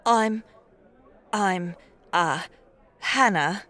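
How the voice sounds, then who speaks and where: A woman's voice: mid-range voice, extremely slow, pushed and bright. A woman playing a meek but stubborn young peasant woman in an audiobook, hesitant and pleading. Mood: meek, nervous. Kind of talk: audiobook character dialogue.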